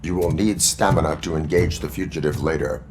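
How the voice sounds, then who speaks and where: An actor playing a stern, by-the-book cyborg police officer in a video game, speaking in a formal, measured, deadpan way. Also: male voice, a bass voice, a little hiss or hum. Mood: stern, instructive. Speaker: police field training officer.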